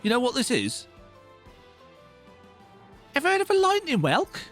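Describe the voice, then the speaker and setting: Guy doing a really high pitched game soldier, urgent and exclamatory, music faintly behind. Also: huge pitch swings, measured pace, fairly bright tone, full character voice. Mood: urgent warning. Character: urgent high-voiced male imperial soldier warning his comrade. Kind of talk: video game dialogue read aloud.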